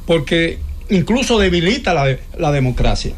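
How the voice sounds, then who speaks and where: A man's voice: on the high side, big pitch swings, normal speed, slight background noise. A man debating politics on a talk show, hesitating with many filler sounds and restarts, often asking for agreement. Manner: opinionated, asks listener for agreement.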